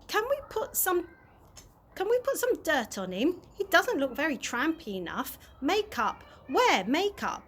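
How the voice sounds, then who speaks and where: A woman's voice: high voice, sing-song, measured pace, fairly bright tone, pitched above the performer's own voice, full character voice. A woman voicing a bossy, fussy, impatient television producer in a storybook reading. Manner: demanding, fussy.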